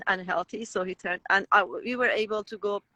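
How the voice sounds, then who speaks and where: A woman's voice: medium pitch, a little fast, bright, forceful tone, no room sound. A woman with a Persian accent, a native Persian speaker, talking as an interview guest with many restarts and fillers. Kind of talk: conversational interview.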